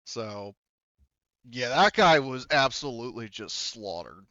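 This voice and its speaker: A man runs a tabletop roleplaying game in a loose, informal way. His voice is clear and medium in pitch, with a dry sound and very little room around it. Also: expressive, a little slow, bright, forceful tone, dry recording, highs cut off. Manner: informal, swears casually. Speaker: game master.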